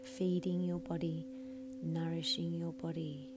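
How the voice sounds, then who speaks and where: Low-pitched woman guiding meditation over background noise. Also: flat delivery. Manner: soothing, measured, with phrases often trailing into breathy softness. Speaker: guided meditation leader. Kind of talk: scripted guided meditation narration. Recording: clearly audible background hum and noise.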